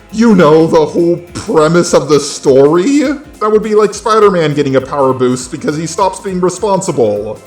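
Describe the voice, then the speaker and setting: Male commentary host, slightly high voice, sarcastic and exasperated, echoey sound over music. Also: a little mellow. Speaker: host of a video commentary channel. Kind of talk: opinionated film and TV commentary. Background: background music.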